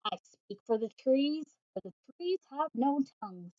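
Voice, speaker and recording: Lady doing a bossy little old mossy guy, sharp voice, high and swoopy. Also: lively intonation, unhurried, no echo. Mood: indignant. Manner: shouting and puffing, upset. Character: short old mossy male forest creature, bossy. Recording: slightly dull lo-fi audio.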